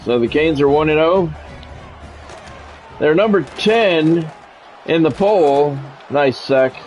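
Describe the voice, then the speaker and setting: A man's voice: on the high side, lively intonation, slow, darkish tone, soft background music. A man doing casual sports game commentary, drifting between announcer-style play calls and conversational musing.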